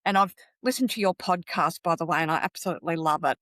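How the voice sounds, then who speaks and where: Aussie woman guest on a podcast giving practical advice, lowish voice, dry close sound. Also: fast, a bit bright, dry recording. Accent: Australian. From Australia. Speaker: podcast guest, travel coach. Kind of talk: conversational interview advice.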